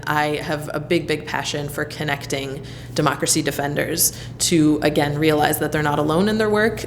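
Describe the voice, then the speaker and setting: Woman, from the US, very low pitch, interview guest, thoughtful, ums and you-knows. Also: a little hiss or hum. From United States. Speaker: interviewee, leader at a civic nonprofit hub. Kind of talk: conversational interview answers.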